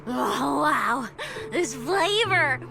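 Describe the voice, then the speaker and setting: High-pitched, cheeky young snake-woman officer. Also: very slow, vocal fry, over music, heavily acted. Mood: savoring, teasing. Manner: playful, teasing, expressive. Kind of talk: video game voice acting.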